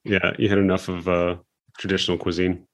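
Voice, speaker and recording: A man in casual conversation, relaxed and affirming, chatting along with plenty of filler words. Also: sits low, fairly quick.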